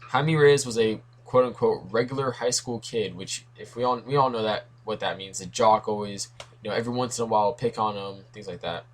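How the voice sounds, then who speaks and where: A man's voice: on the low side, fairly quick. A young man hosting a comics talk video in a casual, rambling way, his voice worn from losing it this week. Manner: rambling, self-correcting, lots of asides.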